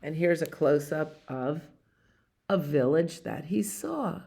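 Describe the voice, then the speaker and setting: Female storyteller, 60s, fairly low pitch, very unhurried, expressive read-aloud with conversational asides to young listeners. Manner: expressive, explains pictures, asks listeners questions. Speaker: library storyteller reading picture books to children. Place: library activity room, indoors.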